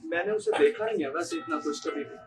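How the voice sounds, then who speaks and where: A man's voice: fairly high pitch, fairly quick, close to an everyday voice. Young man acting a teasing self-satisfied husband, chatty, patronising, Pakistani accent, amateur stage drama, roomy echo, distant mic. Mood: casual, nosy. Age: young adult. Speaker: student stage actor. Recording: echoey hall, distant microphone.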